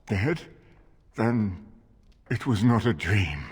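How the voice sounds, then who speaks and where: An actor playing a grave, authoritative middle-aged lord in a video game, speaking in a formal, measured way with a husky voice. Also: male voice, fairly low pitch, lively intonation, exaggerated voice. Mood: weak, anxious. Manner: formal, measured, stately delivery. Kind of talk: dramatic video game voice acting.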